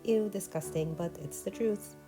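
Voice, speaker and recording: A woman's voice: mid-range voice, moderate pace, warm tone, soft background music. A woman vlogger talking through a one-take voiceover in a chatty, earnest way, sounding a bit nasal from an allergy attack. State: stuffy nose from allergies. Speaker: vlogger and painter. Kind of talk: casual voiceover narration.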